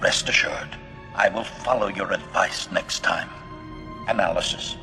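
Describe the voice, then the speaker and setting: Low, metallic, murderous assassin droid. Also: male voice, measured pace, sharp tone, long reverb tail, soft background music, heavily acted. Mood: pleased, menacing. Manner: formal, clipped, announces each statement with a label word. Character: bloodthirsty male assassin droid, servile, smugly precise, gleefully murderous. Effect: metallic robot voice filter. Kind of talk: video game character dialogue.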